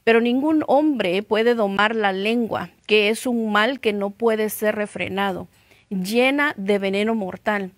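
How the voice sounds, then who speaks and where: A woman's voice: medium pitch, a little slow, dry recording. A middle-aged woman with a Guatemalan accent, giving reflective, conversational Bible teaching as a pastor. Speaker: pastor co-teaching with her husband.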